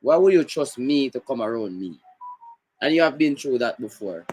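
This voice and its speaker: A man's voice: medium pitch, lively intonation, moderate pace, darkish tone, slightly rough, no echo. A man hosting a radio interview, pushy and repeating himself.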